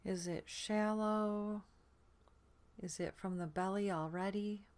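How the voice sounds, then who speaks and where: A woman leading a guided sleep meditation in a gentle, soothing manner, her soft voice often trailing into a breathy near-whisper. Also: medium pitch, steady tone. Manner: soothing, gentle, unhurried with long pauses.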